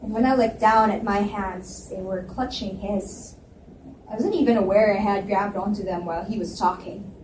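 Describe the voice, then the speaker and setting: A woman giving an expressive first-person reading of a romance novel at a live event in a library. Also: mid-range voice, normal speed, background noise. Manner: expressive narration with dialogue. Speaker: reader, first-person narrator. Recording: echoey library room, mic at a distance.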